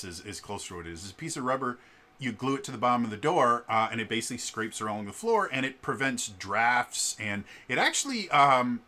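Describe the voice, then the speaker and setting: A man talking in a casual ranting vlog, often stammering on the first sound of words and filling pauses with ums and uhs. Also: fairly low pitch. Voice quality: loud voice. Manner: repeats word starts, stammering, many fillers. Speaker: vlogger.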